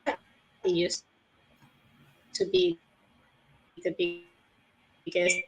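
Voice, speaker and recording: A woman's voice: on the low side, very animated, drawn-out words, gravelly, poor audio. A woman hosting an online panel over a video call, introducing guests hesitantly with many fillers. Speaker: host.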